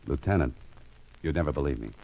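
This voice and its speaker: Hard-boiled radio detective, bottom-deep pitch, wry, cool-headed, sardonic, muffled old recording. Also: male voice, expressive, fast talker, creaky, heavily acted. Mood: wry, puzzled. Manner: sardonic, talks to himself in narrated asides. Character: wry, cool-headed private investigator, grown man. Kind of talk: 1940s radio acting. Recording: dull vintage radio recording, music behind.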